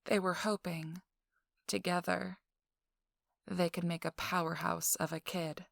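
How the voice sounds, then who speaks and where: A woman's voice: on the low side, level tone, vocal fry, no echo. A woman playing a feisty, self-assured young socialite in an intimate ASMR audio roleplay, teasing and dryly sarcastic, sometimes dropping toward a whisper. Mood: wry, confiding. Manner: slow, teasing, dry sarcasm, drifting into whispers.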